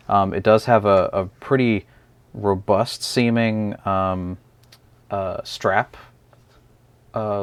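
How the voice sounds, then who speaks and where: A man's voice: fairly low pitch, smooth, dry recording. A man casually explaining a product in an unscripted, hesitant review, talking through it step by step as the host.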